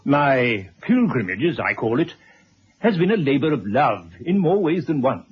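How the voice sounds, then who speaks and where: Husky, courteous elderly gentleman. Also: mid-range voice, sing-song, normal speed. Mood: earnest, gentle. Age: older. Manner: polite, precise, gently fussy. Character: courteous, fussy elderly retired man writing a memoir. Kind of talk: 1970s radio drama acting. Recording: dull vintage radio recording.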